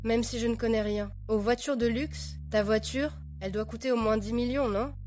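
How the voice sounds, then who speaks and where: An actress dubbing a practical, matter-of-fact young bride. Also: female voice, on the high side, fairly even intonation, moderate pace, a little mellow, faint music behind, natural voice.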